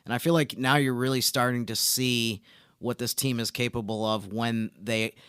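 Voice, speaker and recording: A man talks sports as a podcast co-host, with a smooth, clean voice of average pitch. His delivery is conversational and explanatory. The recording is tight and dry. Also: steady tone, normal speed, fairly bright tone, no room sound.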